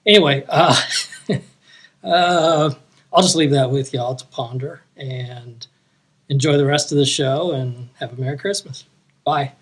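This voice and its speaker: A man from Texas, talking easily and hesitantly with many pauses and filler words. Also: medium pitch. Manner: easygoing, hesitant, many uhs. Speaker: guest sending a clip. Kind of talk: casual remarks to viewers.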